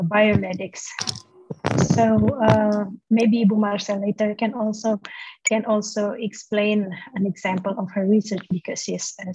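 A woman's voice: medium pitch, darkish tone, husky, no echo. A woman, a university lecturer explaining a study program, with many "uh" fillers. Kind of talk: presenting a study program over a video call. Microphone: laptop mic on a video call. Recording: dull lo-fi video call audio.

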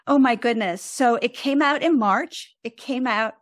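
An American woman of seventy talking reflectively as an interview guest, with thoughtful, halting phrasing. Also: on the high side, no echo, clean studio sound. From United States. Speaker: podcast guest, composer and author.

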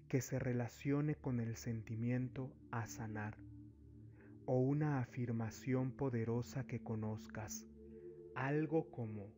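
A man narrating a guided meditation as a spiritual guide, giving deliberate instructions in short phrases with long pauses. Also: medium pitch, mellow. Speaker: spiritual therapist, meditation guide and channel host. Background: background music.

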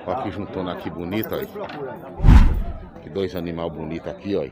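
A man's voice: low voice, fairly even intonation, normal speed. A middle-aged man talks chattily to the camera, pointing out animals as he goes. He is recorded outdoors on a handheld camera. A busy crowd murmurs all around him. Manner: chatty, pointing things out to viewers. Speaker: vlogger visiting a cattle fair. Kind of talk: casual on-the-spot commentary. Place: outdoor livestock market pens.

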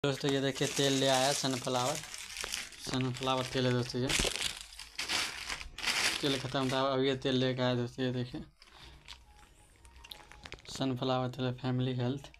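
A man talks through a home cooking vlog in a flat, level voice, narrating every step and calling out to his friends. He is recorded on a handheld phone in a small kitchen. Pots and dishes clatter clearly in the background. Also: medium pitch, normal speed, pauses often, noisy background. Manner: chatty, keeps addressing friends, narrates each step. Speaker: cooking vlogger. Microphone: handheld phone camera.

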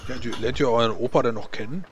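Tabletop game dwarf, played by a man: mid-pitched, inquisitive, blunt, proud, stumbles and repeats. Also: quick pace, crowd noise, close to an everyday voice. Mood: curious, blunt. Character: blunt, curious dwarf proud of big family. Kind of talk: in-character tabletop roleplay.

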